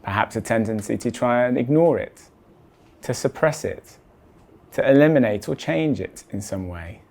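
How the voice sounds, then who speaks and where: A man leading a guided body scan meditation in a measured, deliberate way, letting his phrase endings fall soft. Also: medium pitch, animated, creaky.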